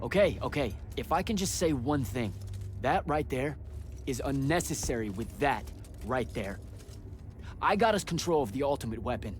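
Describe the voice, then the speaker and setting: An actor playing a brash, defensive young battlemage in a game cutscene, cocky and sarcastic in manner. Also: male voice, medium pitch, expressive, normal speed, soft background music, natural voice. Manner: cocky, sarcastic, stammering protests. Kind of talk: dramatic video game cutscene acting.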